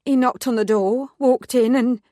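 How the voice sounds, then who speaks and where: A woman's voice: a little high, steady tone, normal speed, mellow, dry recording, clean studio sound, voice pushed up, lightly acted. A woman voicing an effusive, chatty woman in her mid-forties who gushes and gossips. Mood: gossipy.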